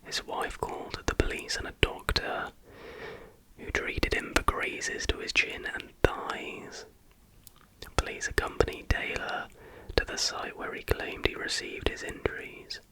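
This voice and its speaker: A man whispering in a breathy, hushed voice, reading aloud informally in an ASMR style. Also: poor audio. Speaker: ASMR host. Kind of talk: whispered ASMR reading of stories with casual asides.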